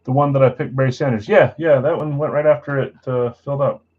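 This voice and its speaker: Male card break host, laid-back and plain-spoken, mid-pitched, muffled lo-fi stream audio. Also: fairly even intonation, quick pace. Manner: self-described boring voice, low-key and matter-of-fact. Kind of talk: casual livestream chat, reading out buyers and spots.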